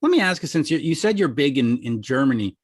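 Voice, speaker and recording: A man's voice: mid-range voice, big pitch swings, fast, slightly rough. A middle-aged man interviewing a guest in a casual chat, stumbling and restarting as he asks questions. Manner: stammering restarts when asking questions. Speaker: interviewer, host.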